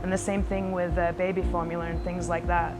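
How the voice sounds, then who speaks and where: A woman's voice: fairly low pitch, little pitch movement, a little fast. Woman doing a doc interview, earnest n chatty, tags questions on the end, music under her. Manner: earnest, often ends points with a questioning tag. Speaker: youth homelessness worker. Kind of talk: documentary interview, conversational.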